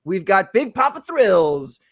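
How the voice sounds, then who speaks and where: A man's voice: high-pitched, very animated, takes it slowly. A man hosting a casual live-streamed show, chatty and apologetic, laughing often. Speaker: host.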